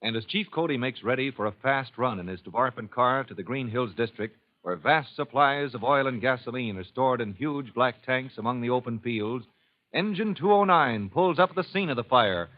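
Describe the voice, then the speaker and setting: A man narrates an old radio drama in a smooth, measured announcer manner. His voice has a middle pitch for a man. The old recording sounds dull. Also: animated.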